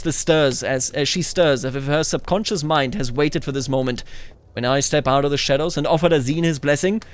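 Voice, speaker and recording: A man's voice: mid-range voice, clear, resonant tone. A man hosting a gaming video, musing aloud expressively as he mixes casual commentary with reading story text.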